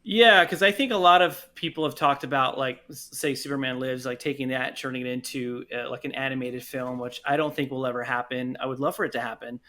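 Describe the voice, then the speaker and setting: Interview guest: man, bright medium-pitched voice, enthusiastic, casual conversational delivery. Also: steady tone, fairly quick, few pauses. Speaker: podcast guest, audio drama producer.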